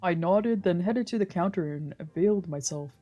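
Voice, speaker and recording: Soft mellow narrator reading a visual novel out loud, low-ish voice, game music faintly underneath. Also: moderate pace. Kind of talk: scripted narration, reading aloud. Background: background music from a video game.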